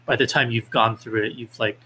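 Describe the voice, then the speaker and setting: A man's voice: medium pitch, steady tone, brisk, pushed and bright, a little hiss or hum. A man being interviewed as a guest, talking casually with hesitant phrasing and lots of filler words. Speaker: podcast guest, independent game developer.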